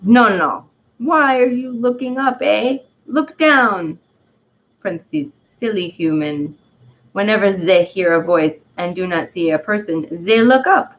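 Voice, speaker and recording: Woman doing a slow, exuberant French-accented dandelion. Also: mid-range voice, animated, muffled recording. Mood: teasing. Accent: put-on French. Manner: theatrical, halting, often tagging phrases with "eh". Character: exuberant, self-loving talking dandelion, a spirit doctor. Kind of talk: dramatic storybook-style reading.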